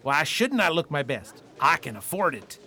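An actor playing a brash, bullying outlaw ringleader in a western radio drama, with a bossy, folksy cowboy way of talking. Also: male voice, a little high, big pitch swings, normal speed, sharp tone. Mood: pleased.

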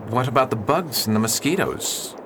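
An actor playing a stern, prim missionary reverend who is tense and curt in a radio play. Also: male voice, mid-range voice, expressive, normal speed, background noise, lightly acted. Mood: irritated. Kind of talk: radio theatre acting.

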